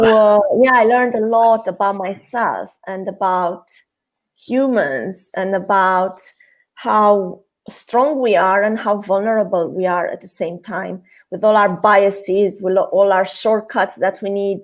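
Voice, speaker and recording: Female guest, thirties, from Romania, mid pitch, open and chatty on a video call. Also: slow. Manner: open, chatty, laughs lightly, many fillers. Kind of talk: casual interview talk.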